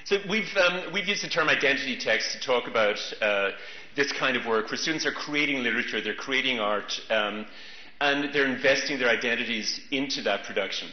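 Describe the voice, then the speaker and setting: A middle-aged man lectures from a podium in a large hall, with a mid-pitched voice and a steady, thoughtful delivery full of small pauses. The sound is roomy and a little dull. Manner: measured, thoughtful, many fillers. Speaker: keynote speaker. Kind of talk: conference lecture. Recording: roomy, dull conference recording.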